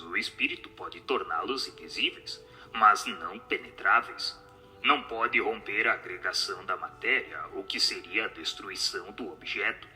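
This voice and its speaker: A man voicing a solemn spirit in a radio drama, speaking in a measured, grave, didactic way. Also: on the low side, bright, forceful tone. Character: solemn spirit answering questions from the beyond.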